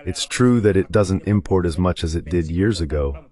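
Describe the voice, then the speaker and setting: A man's voice: deep voice, normal speed, warm tone. A man speaking as the translated voice-over of a guest analyst, delivering political commentary.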